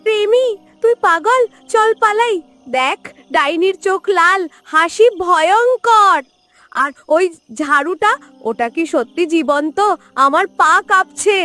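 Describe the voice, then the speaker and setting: Nervous, clever cartoon little sister, tiny very high-pitched voice, earnest delivery. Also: female voice, lively intonation, slow pace, bright, forceful tone, faint music behind, heavily acted. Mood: frightened. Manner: anxious, earnest. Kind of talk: children's cartoon voice acting.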